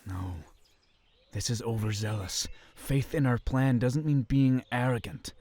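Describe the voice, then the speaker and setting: An actor playing a disciplined, dry-witted young crusader in a medieval fantasy audio drama, speaking in measured, formal phrases. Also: male voice, medium pitch, a little mellow, natural voice. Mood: tense self-doubt. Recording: faint background noise.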